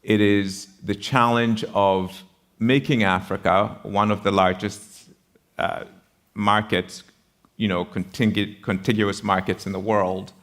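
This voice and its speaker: Ghanaian man, middle-aged panelist. Low voice, measured and deliberate, pauses between phrases. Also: fairly bright tone. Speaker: panelist, university founder. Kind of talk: panel discussion, explanatory speech.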